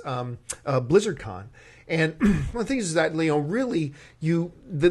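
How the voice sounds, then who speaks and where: A man with a somewhat high voice hosts a casual movie discussion. His intonation swings widely as he argues his opinions. He leans on key words and repeats them for emphasis. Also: husky. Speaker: talk show host.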